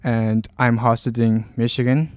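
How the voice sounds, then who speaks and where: A man's voice: medium pitch, unhurried, smooth, no room sound, soft background music. A young man, introducing himself as an exchange student. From Mongolia. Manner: steady self-introduction, one restart.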